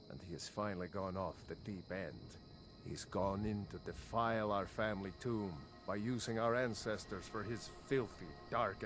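Actor as low-voiced, earnest fantasy game villager. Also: male voice, lightly acted. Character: worried male fantasy villager guarding his family's honour. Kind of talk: scripted video game character dialogue. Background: game music.

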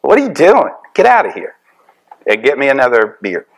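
A man's voice: mid-range voice, normal speed, slightly rough. Middle-aged man lecturing conversationally. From Tennessee, USA. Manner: conversational, animated. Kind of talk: lecture to a class. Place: hotel-style lecture room, indoors.

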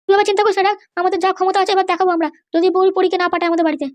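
A woman's voice: extremely high pitch, fairly even intonation, very fast. A woman voicing an aggrieved, scheming older mother-in-law in a comedy cartoon, complaining and scolding. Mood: reassuring. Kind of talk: exaggerated cartoon dialogue.

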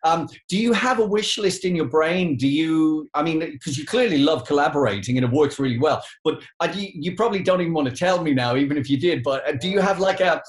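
A man's voice: on the high side, expressive, a little fast, hardly any pauses. A middle-aged man hosting a chatty interview from a living room, with wordy, roundabout questions. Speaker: radio host, interviewer. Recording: echoey living room video call.

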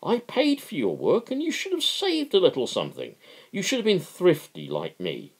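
A smug male capitalist boss from a storybook, as a man reading aloud puts him on. The voice swoops high and wide, affable on the surface and patronising underneath, dismissing those below him. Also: huge pitch swings, moderate pace, husky, exaggerated voice. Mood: affably smug.

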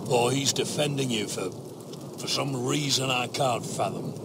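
Middle-aged male officer, gravelly, contemptuous, menacing, dramatic game delivery, noisy backdrop. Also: mid-range voice. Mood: cold accusation. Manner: deliberate, scornful, threatening. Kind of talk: dramatic video game acting.

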